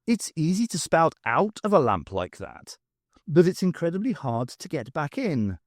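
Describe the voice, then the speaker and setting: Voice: mid-range voice, sing-song, normal speed, no echo, clean studio sound, voice pushed up. A reader playing a sardonic woman adventurer. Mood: defiant. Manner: sarcastic, exclamatory.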